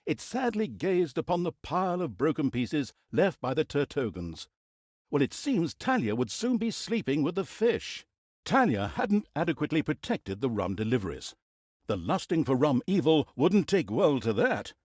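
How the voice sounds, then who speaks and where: A man's voice: on the high side, very animated, normal speed, darkish tone, dry recording, studio quality. A man playing a mock-grand, sardonic narrator of a villain's exploits in a fantasy video game, telling the story in a hammy theatrical way. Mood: wry, dramatic.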